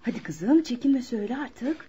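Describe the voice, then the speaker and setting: High-voiced bustling mother, muffled recording. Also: animated, moderate pace, warm tone, breathy edge. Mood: gently coaxing. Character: homely middle-aged mother hosting marriage suitors. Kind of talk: Turkish radio theater acting. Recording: muffled lo-fi radio drama recording.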